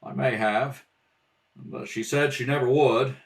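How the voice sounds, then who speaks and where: A man's voice: mid-range voice, steady tone, unhurried, vocal fry. A man playing a nervous, lovelorn suspect who stammers hesitantly, in an old-time radio drama style. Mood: guarded, sullen. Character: nervous, lovelorn man under police questioning. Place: home kitchen table. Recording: distant home rehearsal recording.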